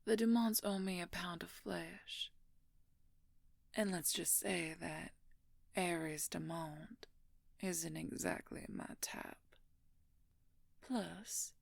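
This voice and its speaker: A woman playing a smug, possessive female werewolf in an audio roleplay, murmuring her condescending threats in a breathy, often whispered voice. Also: lowish voice, dry recording, exaggerated voice. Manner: slow, smug, condescending, drawn-out menace. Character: menacing, possessive female werewolf, former court mage. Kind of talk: audio roleplay monologue addressed to the listener.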